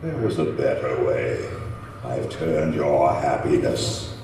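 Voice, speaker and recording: A man's voice: rumbling low, animated, a little mellow, heavily acted. A man playing a vengeful, taunting man-made monster in old-time radio horror style, with menacing, grandiose delivery. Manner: slow, menacing, grandiose declaiming. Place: community center stage, live performance. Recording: roomy live hall, distant mic, faint noise.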